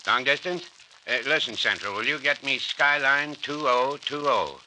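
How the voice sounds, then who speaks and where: A man's voice: medium pitch, expressive, moderate pace, pushed and bright, poor audio. A man playing a dryly bossy, folksy old doctor in an old-time radio drama. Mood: brisk, insistent. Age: older. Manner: folksy, clipped, dry, commanding. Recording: old radio recording with hiss.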